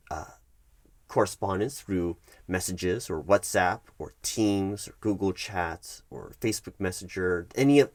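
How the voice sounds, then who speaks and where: A man doing a soft-spoken ASMR roleplay as a polite, evasive HR manager, speaking in measured, hesitant, formal office phrases. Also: lowish voice, no room sound. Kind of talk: soft-spoken ASMR roleplay, one-sided workplace meeting.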